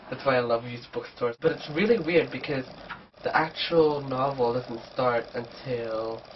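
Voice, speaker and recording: A man's voice: mid-range voice, slight background noise. A man with a cold and a blocked, nasal voice, chatting casually through a book haul vlog. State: sick, blocked nose. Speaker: book vlogger. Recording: distant dull camera mic.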